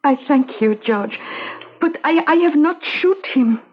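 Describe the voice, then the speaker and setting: High-voiced, wronged Spanish-accented young wife. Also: female voice, lively intonation. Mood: quiet resignation. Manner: halting, broken English with pauses. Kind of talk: radio drama acting. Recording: dull, lo-fi radio drama recording.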